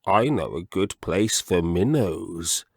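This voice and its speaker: Man voicing a fussy, genteel gentleman frog. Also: sits low, expressive, slow, vocal fry, husky, no room sound, studio quality, heavily acted. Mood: fussy, musing. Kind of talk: storybook character voice.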